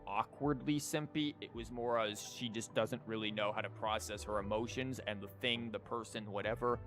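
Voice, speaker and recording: A man's voice: on the low side, fairly even intonation, moderate pace, a bit bright, soft background music. A man giving casual livestream commentary on a game, rambling informally and thinking aloud with false starts. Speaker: video game streamer.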